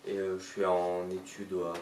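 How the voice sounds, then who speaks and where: An eighteen-year-old vocational student introduces himself in a hesitant, halting way. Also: male voice, low-pitched, completely flat delivery, a little mellow. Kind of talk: interview.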